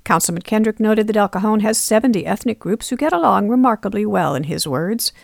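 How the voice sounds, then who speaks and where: Female reporter for scripted news narration, creaky edge, dry close recording. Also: mid-range voice, lively intonation, brisk, dry recording.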